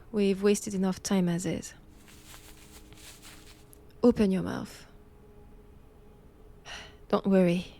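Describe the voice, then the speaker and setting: A woman with a French accent playing a stern, cold vampire-hunting nun in an intimate audio roleplay, measured and commanding. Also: medium pitch, darkish tone. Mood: firm, commanding.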